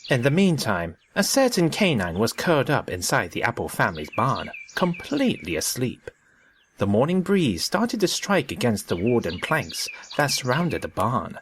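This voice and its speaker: A man narrating a scripted story in an expressive, theatrical way. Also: mid-range voice, a little hiss or hum.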